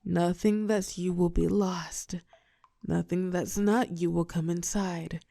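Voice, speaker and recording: A woman playing a telepathic villain and former hero in a roleplay audio drama, protective and sharp-tongued, with a breathy voice that often drops to a whisper. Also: fairly low pitch, steady tone, no room sound, exaggerated voice. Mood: tender, soothing. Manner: slow, commanding yet tender coaching.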